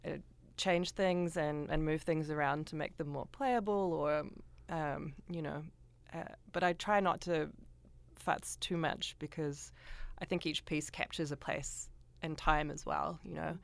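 A woman's voice: deep voice, steady tone, a little slow, vocal fry. A woman composer talking thoughtfully in a radio interview, hesitating with frequent ums.

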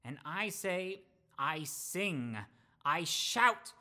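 A man playing a cheerful, warm-hearted young nephew in a radio play, speaking in a hearty, earnest, declamatory way. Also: sits high, animated, very slow, full character voice. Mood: earnest, fervent. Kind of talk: theatrical radio play acting.

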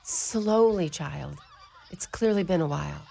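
A woman's voice: deep voice, unhurried, vocal fry, exaggerated voice. A woman playing a kindly, wry and firm older Mother Superior in a community radio play. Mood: gently commanding. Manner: gently commanding, dryly teasing. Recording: noisy radio studio recording.

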